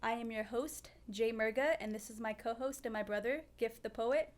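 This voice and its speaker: A woman's voice: fairly high pitch, normal speed. A woman hosting a podcast, speaking in a conversational interview style. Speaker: podcast host.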